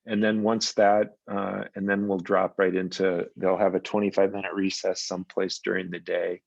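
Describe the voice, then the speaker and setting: A man giving a school principal's report at a parents' meeting, relaxed and rambling with fillers. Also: sits low, normal speed, gravelly, dry recording, muffled recording. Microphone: video call.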